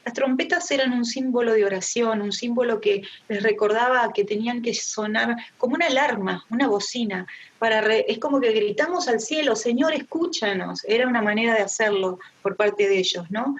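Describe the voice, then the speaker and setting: A woman's voice: fairly high pitch, moderate pace. A middle-aged woman with an Argentine accent gives a conversational devotional Bible teaching to a women's group, addressing her listeners directly. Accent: Argentine Spanish. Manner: engaged, addresses listeners directly with rhetorical questions. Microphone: online group call. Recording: dull, lo-fi online meeting audio.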